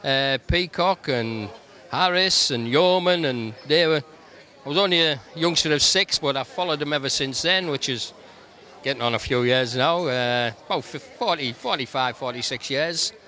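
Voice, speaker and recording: A good-humoured man in his fifties with a Middlesbrough accent, chatting easily as a guest. His voice is bright and forward, with a relaxed, reminiscing flow. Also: on the high side, normal speed. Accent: Middlesbrough English. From England. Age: 50s. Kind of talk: casual interview chat. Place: racecourse pavilion.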